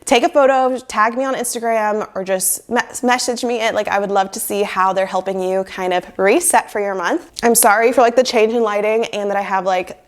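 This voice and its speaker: A woman's voice: mid-range voice, steady tone, fairly quick, few pauses. Young woman, twenties, nasal from a cold, upbeat casual vlog chat, filler words, small indoor room, lapel mic. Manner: chatty, upbeat, frequent "like" fillers. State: sick with a cold. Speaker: lifestyle vlogger. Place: small bedroom office indoors. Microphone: lapel mic plugged into a phone.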